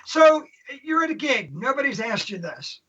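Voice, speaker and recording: Bright, joking voice on a lo-fi call. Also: mid-range voice, big pitch swings, normal speed, husky. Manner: joking, crude humor. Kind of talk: casual interview chat.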